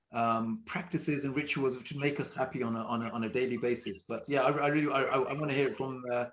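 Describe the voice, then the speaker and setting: Guy hosting a video call chat, kinda high voice, lots of ums and restarts, faint hiss behind. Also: rough recording. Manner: hesitant, many fillers and restarts. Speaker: host. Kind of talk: casual online interview.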